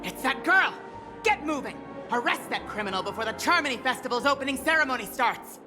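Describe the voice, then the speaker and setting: Minor game role: stern female guard, high-pitched, urgent and commanding, over music. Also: expressive, a little fast. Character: stern, urgent guard barking orders during a chase. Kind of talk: video game voice acting. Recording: clear music behind the voice.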